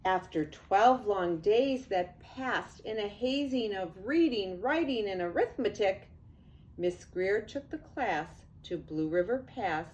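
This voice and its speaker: An older woman, a grandmother, reads a picture book aloud to young children in an expressive, unhurried way with casual asides. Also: mid-range voice, a little hiss or hum, poor audio. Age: 60s or older.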